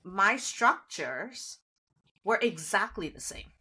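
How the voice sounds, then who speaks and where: A woman from India in her forties, a guest in a casual conversation, telling stories in an animated way with frequent laughter. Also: mid-range voice, takes it slowly, crowd noise.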